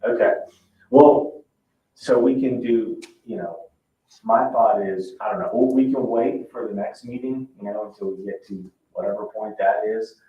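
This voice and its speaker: Man, low-pitched, halting informal meeting presenter, heard from a distance in a roomy space. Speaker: commission member presenting at a public meeting. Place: indoor public meeting room. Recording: roomy, distant, dull, noisy meeting-room pickup.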